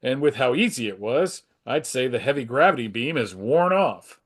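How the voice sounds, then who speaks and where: A man's voice: mid-range voice, expressive, moderate pace, natural voice. Man reading as a composed, earnest superhero. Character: heroic, polite, confident adult male superhero. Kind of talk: dramatic comic book table read.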